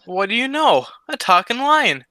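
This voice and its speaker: A man's voice: very high voice, very animated, normal speed, heavy background noise. A man playing a cowardly, dim but wisecracking sidekick in a vintage-style comedy radio double act. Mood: naive, curious.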